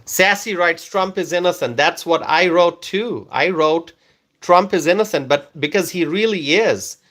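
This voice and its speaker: A man from Bangladesh, a native Bengali speaker, hosts a live stream and reads out viewer comments one by one. His voice sits a little high, and he talks slowly, repeating phrases as he coaxes viewers to respond. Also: a bit bright. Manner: slow, repetitive, coaxing viewers.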